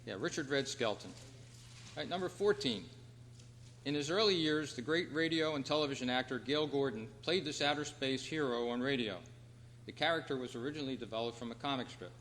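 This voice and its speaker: A man hosting a trivia quiz at a club meeting, reading out questions in a measured, announcing way. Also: medium pitch, fairly bright tone. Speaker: quiz host. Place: hall at a convention club meeting. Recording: reverberant hall, clear background noise and hum.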